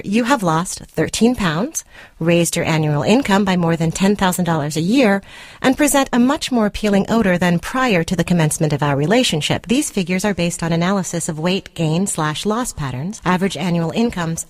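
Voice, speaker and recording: A woman's voice: on the low side, lively intonation, a little fast, no room sound, lightly acted. A woman playing a controlling girlfriend in a radio story, speaking with precise, coldly formal, condescending deliberation. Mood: officious, controlled. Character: controlling, coldly formal jilted girlfriend dictating a rebuttal letter. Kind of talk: dramatic short story reading for radio. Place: dry radio studio.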